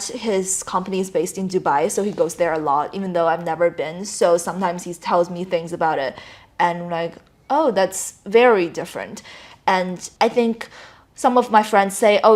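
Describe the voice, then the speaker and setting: Young woman, native Chinese speaker, from China, middle pitch, relaxed, reflective, casual interview. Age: young adult. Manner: thoughtful, relaxed, many fillers. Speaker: student guest.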